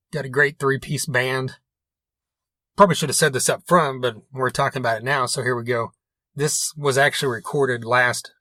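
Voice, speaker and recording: A man's voice: medium pitch, pushed and bright, no echo. A man hosting a podcast, rambling casually and correcting himself as he introduces an interview. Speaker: podcast host.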